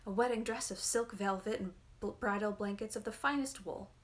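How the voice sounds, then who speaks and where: A woman's voice: mid-range voice, a little fast, voice pushed up, lightly acted. A woman voicing a grasping, bossy middle-aged peasant mother in a fairy tale. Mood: greedy. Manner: urgent, grasping. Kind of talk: storybook fairy-tale reading.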